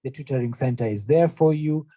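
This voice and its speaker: A man's voice: medium pitch, brisk, mellow, dry recording. A young man from Kenya giving an earnest, conversational presentation to prospective students, with frequent fillers. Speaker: university representative. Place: room presentation, also streamed online.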